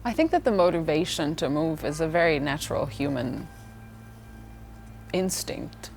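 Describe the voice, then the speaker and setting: A woman's voice: low-pitched, expressive, moderate pace, creaky. A woman, a dancer speaking reflectively in an interview. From Puerto Rico. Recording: loud music behind the voice. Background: loud background music.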